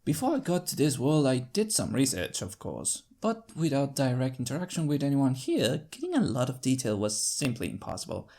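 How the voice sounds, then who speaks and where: A man with a creaky voice narrating a first-person story in an expressive, theatrical way. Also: mid-range voice, normal speed, few pauses. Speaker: fan fiction narrator.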